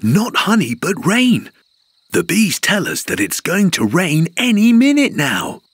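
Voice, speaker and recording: A man voicing a patient, playful green parrot father in a children's cartoon, gently explaining and counting out a march. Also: sits high, huge pitch swings, a little slow, slightly rough, dry recording, heavily acted. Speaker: father teaching his kids. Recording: studio voice over loud music.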